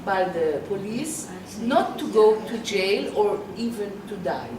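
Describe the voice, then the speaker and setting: A woman's voice: mid-range voice, animated, measured pace. A woman, an interviewee in a roundtable discussion, reasoning step by step in a hesitant way. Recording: echoey room, distant mic, background noise.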